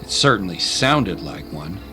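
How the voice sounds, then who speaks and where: A man's voice: low-pitched, sing-song, measured pace. A man narrating a scripted dramatic story as an audiobook narrator. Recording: music under the voice.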